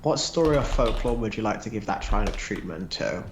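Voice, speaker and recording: A man's voice: on the low side, big pitch swings, a little fast, noisy background. A man co-hosting a casual livestream, rambling and restarting his sentences. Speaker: livestream co-host. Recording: echoey room.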